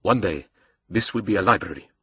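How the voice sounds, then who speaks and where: A man plays a cold male villain mastermind for an audiobook. He is low and husky, speaking softly and formally, measured and controlling, with an edge. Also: lively intonation, exaggerated voice. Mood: cool, measured. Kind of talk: audiobook character dialogue.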